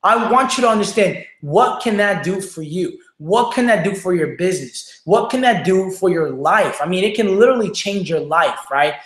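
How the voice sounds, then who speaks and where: A man with a Mexican accent hosting a motivational livestream on his phone, talking fast and energetically and tagging each point with "right?" or "okay?". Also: high voice, animated, fairly bright tone. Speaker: livestream show host. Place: home room without studio lighting. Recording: echoey room.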